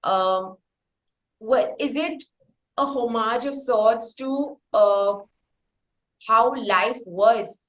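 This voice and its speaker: A woman's voice: on the high side, extremely slow, pauses often. A woman with an Indian accent hosts a conversational interview, phrasing her questions in a wordy, effusive way. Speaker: interview show host. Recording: roomy, echoing sound.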